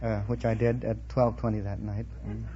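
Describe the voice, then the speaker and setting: A man's voice: lowish voice, level tone, moderate pace, mellow. A man answering as a quiz show contestant in a formal, wordy, precise manner. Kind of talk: interview answers on a radio quiz. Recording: muffled, noisy vintage radio recording.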